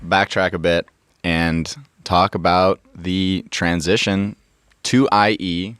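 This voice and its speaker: Deep-voiced male podcast host. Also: steady tone, takes it slowly, a bit bright, smooth, dry recording, clean studio sound. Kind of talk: interview hosting.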